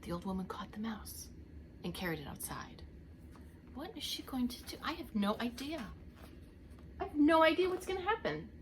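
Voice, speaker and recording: A woman's voice: fairly high pitch, sing-song, normal speed, pauses often, a little hiss or hum. A woman reading a story aloud to young children like a preschool teacher, theatrically drawing out the action words and talking to her listeners.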